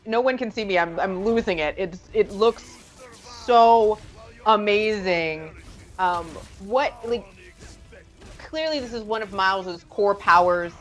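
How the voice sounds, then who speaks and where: A woman with a mid-pitched voice hosts a live game interview. She is enthusiastic and conversational. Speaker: interviewer, host.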